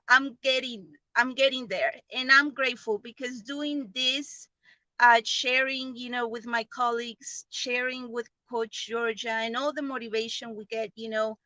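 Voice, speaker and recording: A woman speaks English as a second language with a foreign accent. Her voice is fairly high and strikingly bright, recorded close and dry. She tells her story earnestly and informally, halting and repeating phrases as she goes. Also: no room sound, poor audio. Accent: non-native English. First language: not English. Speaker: online business member giving a testimonial. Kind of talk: informal personal testimonial.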